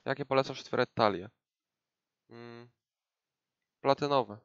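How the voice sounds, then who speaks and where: A man's voice: mid-range voice, normal speed, fairly bright tone, clean tone, no room sound, dull audio. A young man from Poland with a Polish accent, casually commenting on his gameplay live from a home room into a headset mic, with many fillers. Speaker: gaming streamer.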